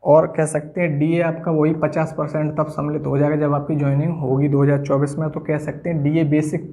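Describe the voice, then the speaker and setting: A young man gives a whiteboard-style lesson in a soft-edged, mellow voice. His pitch sits a little high for a man. He explains things step by step and often repeats his points. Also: little pitch movement, brisk. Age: 20s. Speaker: exam-coaching teacher. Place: small indoor room at a whiteboard.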